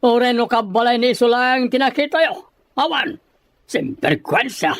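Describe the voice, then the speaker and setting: An actor playing a pompous, irritable rich master with haughty, stilted broken speech in an audio drama. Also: male voice, very high-pitched, very animated, normal speed, no echo, exaggerated voice. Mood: scolding, irritated.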